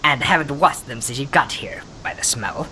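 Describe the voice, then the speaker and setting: A man's voice: mid-range voice, normal speed, bright, forceful tone, a little hiss or hum, low-quality recording. A man playing a feral, defiant, resentful runt of an outsider in a fantasy audio drama, growling and snarling. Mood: surly, mocking.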